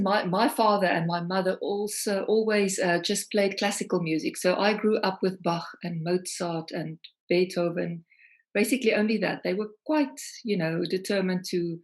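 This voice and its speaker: A middle-aged South African woman, a singer-songwriter, talking reflectively over a video call with many ums. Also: lowish voice. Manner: warm, reflective, frequent ums.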